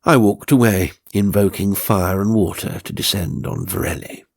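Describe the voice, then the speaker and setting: A man narrating a horror story as an audiobook reader, in a deliberate, suspenseful and theatrical way. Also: lowish voice, vocal fry, no echo, clean studio sound. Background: faint music.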